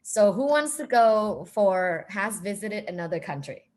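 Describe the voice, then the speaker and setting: Woman from thailand running an online group activity, mid pitch, encouraging and affirming. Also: expressive, unhurried, a little mellow. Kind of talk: facilitating a group video chat. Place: online video meeting.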